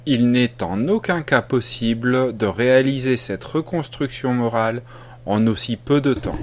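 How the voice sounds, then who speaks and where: A man reading a scripted disclaimer as an announcer. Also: medium pitch.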